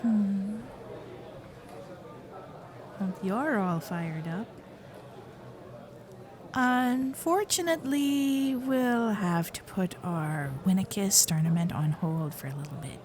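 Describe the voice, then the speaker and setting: A woman voicing a sweet, playful fox-spirit girlfriend in an ASMR roleplay, coy and affectionate, with soft hesitations. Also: medium pitch, slow pace, pauses often, natural voice. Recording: festival crowd and fireworks sound effects.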